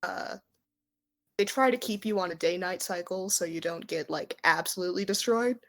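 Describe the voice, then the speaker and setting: A woman running a tabletop roleplaying game, narrating casually and haltingly in a conversational way. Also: on the low side, a bit bright. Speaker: game master.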